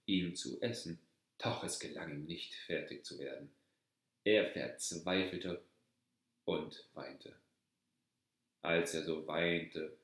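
A man reading a fairy tale aloud as a storyteller, in a measured, deliberate manner. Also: low-pitched. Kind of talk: scripted fairy-tale narration. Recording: very echoey, reverberant room.

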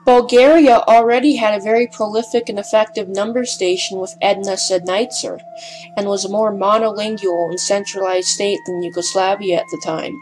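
A woman reads scripted documentary narration at a medium pitch. Her delivery is measured but a touch hesitant, with a slight breathlessness in places. Faint music runs underneath her voice. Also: steady tone, darkish tone, rough recording.

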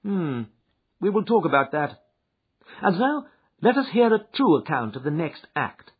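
Commanding sharp detective, brisk and lively, husky tone, voiced by a male reader. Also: a little high, pitched above the performer's own voice, full character voice. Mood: stern. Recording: dull lo-fi recording.

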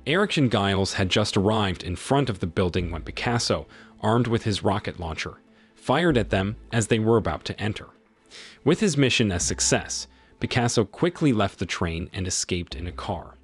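A man narrating a movie recap in a steady, even storytelling delivery, with a husky voice. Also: on the low side, moderate pace. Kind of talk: scripted narration.

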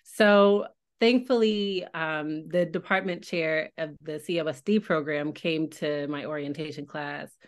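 Low-pitched American woman, chatting casually. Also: steady tone, measured pace. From Tulsa, Oklahoma, United States. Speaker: podcast guest, speech-language pathologist. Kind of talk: casual interview storytelling.

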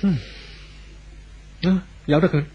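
An actor playing an anxious, apologetic husband in a 1970s radio drama, with a husky voice. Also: male voice, a little high, steady tone, takes it slowly, a little hiss or hum. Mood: resigned. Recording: dull old radio recording.

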